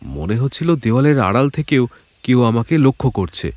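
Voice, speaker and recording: A man playing a frightened, courteous householder in an audio detective drama, speaking with hesitant, sighing pauses. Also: medium pitch, big pitch swings, darkish tone, no echo, lightly acted. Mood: anxious, relieved. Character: frightened, courteous householder, sleepless from a death threat.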